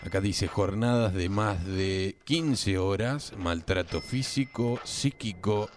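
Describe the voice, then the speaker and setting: A man playing a deep-voiced honest cop partner. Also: slow pace, a little hiss or hum. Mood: earnest. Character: straight-laced honest male police partner. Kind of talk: amateur radio play acting.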